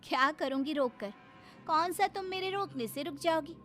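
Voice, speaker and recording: A woman's voice: high-pitched, normal speed, music in the background, voice pushed up, close to an everyday voice. A woman voicing an aggrieved, sarcastic young wife in a cartoon story, complaining in a plaintive, dramatic way. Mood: bitter, tearful.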